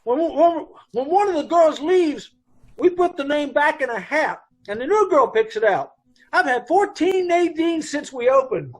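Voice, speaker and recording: An actor playing a brusque, chatty dance hall boss with folksy, blunt speech in an old-time radio police drama. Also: male voice, extremely high pitch, lively intonation, unhurried. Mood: offhand.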